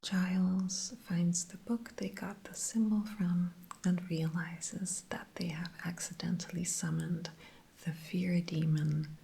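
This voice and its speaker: A woman speaks entirely in a soft, unvoiced whisper, chatty and full of little fillers. She takes her time, unhurried and lingering. Her whisper has a dark, gravelly grain. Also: lowish voice, little pitch movement. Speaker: TV episode reviewer. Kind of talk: whispered ASMR episode recap.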